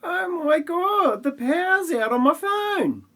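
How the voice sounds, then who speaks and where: A man voicing an excitable, flustered, easily amazed character with exaggerated astonishment in an amateur comedy audio play. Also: very high-pitched, takes it slowly, voice pushed up, full character voice. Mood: panicked.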